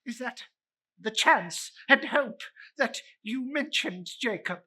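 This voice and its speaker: A man's voice: very high-pitched, animated, slow, sharp tone, pitched above the performer's own voice, exaggerated voice. A man voicing a frightened old miser in a dramatic storybook reading, stammering, timid and whining as he pleads. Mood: timid reluctance.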